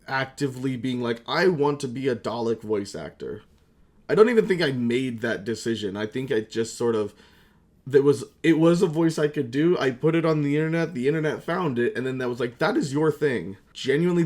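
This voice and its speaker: A young man talks in a middle-pitched voice, like a YouTuber catching up with viewers. He rambles in a casual, comedic way, mocking himself and swearing freely. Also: a little mellow. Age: young adult. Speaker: YouTuber and amateur voice actor. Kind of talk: casual comedic vlog chat.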